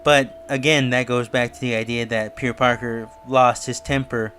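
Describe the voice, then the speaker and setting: Male commentator, mid-pitched, for casual speculative review and theory narration over a music bed. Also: flat delivery, moderate pace, sharp tone. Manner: musing, speculative. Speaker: YouTube reviewer.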